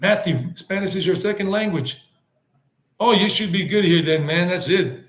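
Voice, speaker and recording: A man talks casually on a live stream, rambling from point to point, answering viewers and dropping Spanish words into his talk. His voice sits a bit high for a man. The room gives it a noticeable echo. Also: normal speed, a bit bright. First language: English. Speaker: travel vlogger hosting a live stream.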